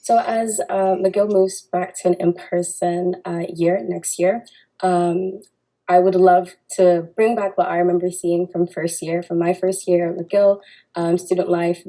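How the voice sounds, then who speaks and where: A woman's voice: lowish voice, warm tone, rough recording. A young woman answering as a candidate in a student debate, hesitant and full of fillers. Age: young adult.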